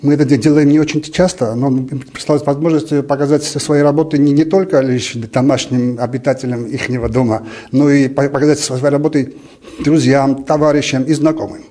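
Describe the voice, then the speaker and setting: A man in his fifties answers questions in a mellow voice of ordinary pitch. He speaks haltingly, rephrasing as he goes, into a handheld interview microphone indoors. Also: fairly even intonation. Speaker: art teacher being interviewed. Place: indoor art gallery. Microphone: reporter's handheld microphone.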